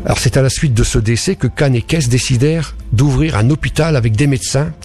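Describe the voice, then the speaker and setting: A man from France, a radio guest telling history slowly, with many fillers and restarts. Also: medium pitch, a little mellow, soft background music. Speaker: guest speaker on spiritualism. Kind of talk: radio talk explaining history.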